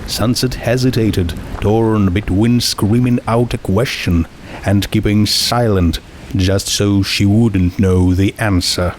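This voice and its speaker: A man's voice: lowish voice, fairly even intonation, slow, darkish tone, a little hiss or hum. A man narrating a scripted fiction story as its reader.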